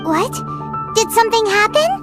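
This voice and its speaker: A woman voicing a tiny, excitable, childlike fairy companion in a video game, squeaky and eager. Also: normal speed. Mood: surprised, concerned. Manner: eager, exclamatory, refers to herself by name. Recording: loud game music behind. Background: game music.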